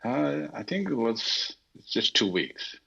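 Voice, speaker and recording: A man's voice: medium pitch, sing-song, takes it slowly, vocal fry, sharp tone, breathy edge, highs cut off. A man from South India, a native Telugu speaker, talking hesitantly with many fillers on a group audio call. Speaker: guest. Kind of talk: casual chat.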